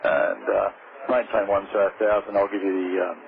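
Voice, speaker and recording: A man's voice: sits low, steady tone, moderate pace, creaky. A man working as an air traffic controller, explaining and instructing in procedural terms over aviation radio. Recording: tinny band-limited radio, faint noise.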